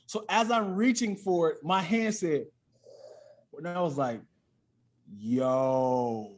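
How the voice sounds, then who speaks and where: A male comedian with a high-pitched voice talks as a podcast guest. He tells things in a loose, comic storytelling style, animatedly voicing bits of dialogue as he goes. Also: takes it slowly. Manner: animated, acts out quoted dialogue.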